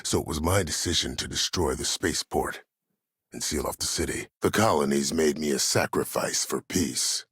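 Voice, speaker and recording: An actor playing a cold, proud, older former war admiral in a video game, speaking formally and with measured authority in a gravelly, husky voice. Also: male voice, a bass voice, fairly bright tone, no echo, studio quality, exaggerated voice. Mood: grave, resolute. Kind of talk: dramatic video game dialogue.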